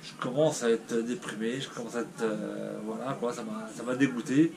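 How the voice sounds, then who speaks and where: A man's voice: medium pitch, fairly even intonation, moderate pace, vocal fry, a crowd in the background. A man vlogging to his viewers with a stuffy nose from a cold, rambling and hesitant, with many fillers, and sounding discouraged. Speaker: YouTuber. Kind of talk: casual vlog talking to viewers.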